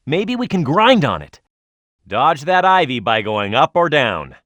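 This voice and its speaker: A tough young male echidna hero from a video game, cocky and quick to flare up. The pitch swings very widely in an emphatic delivery. The recording is close and dry, with no room sound. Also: sing-song, a bit bright, no echo. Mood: curious, alert. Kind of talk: video game character voice lines, tutorial hints and battle shouts.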